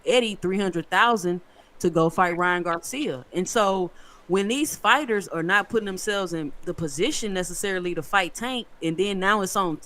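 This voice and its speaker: A man's voice: high-pitched, fairly bright tone. A man co-hosting a boxing podcast, arguing insistently and repeating points for emphasis. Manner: insistent, lecturing, emphatic repetition. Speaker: podcast co-host. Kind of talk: heated casual debate.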